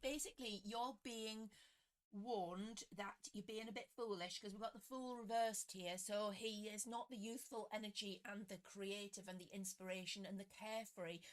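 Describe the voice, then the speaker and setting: A woman with a slightly croaky voice, reading tarot cards for a live audience in a chatty, self-deprecating way. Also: medium pitch. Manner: chatty, self-deprecating, greets viewers by name. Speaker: tarot reader hosting a live stream. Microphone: computer webcam setup.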